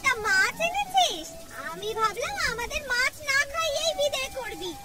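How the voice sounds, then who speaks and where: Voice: very high-pitched, sing-song, moderate pace. A performer voicing bossy, food-loving village women and girls in a cartoon. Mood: bossy, pleased. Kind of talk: Bengali cartoon dubbing. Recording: distant voice under clear music.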